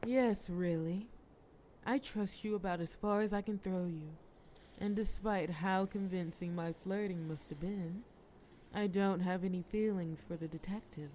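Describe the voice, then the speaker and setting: A woman's voice: on the low side, a little slow, mellow, slight background noise, lightly acted. A woman playing a sly, flirtatious mafia boss in an audio roleplay, with a wry, teasing, formal way of talking. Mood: cool, defiant. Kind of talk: scripted audio roleplay acting.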